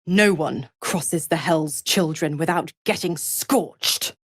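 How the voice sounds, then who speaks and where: A woman's voice: low-pitched, slow pace, husky, dry recording, studio quality, exaggerated voice. An actress playing a seductive, scheming female devil in a video game, with a teasing, sardonic, theatrical and condescending drawl. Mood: wry, goading. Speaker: video game character actor.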